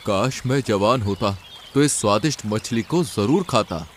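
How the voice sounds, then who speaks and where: A man's voice: fairly low pitch, animated, measured pace, a little hiss or hum. A man voicing a bitter, proud old male heron in a cartoon, with an indignant, self-important manner. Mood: wistful.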